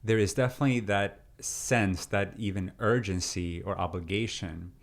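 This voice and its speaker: A man in his thirties, originally from Peru, talking through his life story as a guest in a relaxed interview, with many fillers and restarts. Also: fairly low pitch, normal speed. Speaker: deacon and seminarian, interview guest. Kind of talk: casual interview conversation.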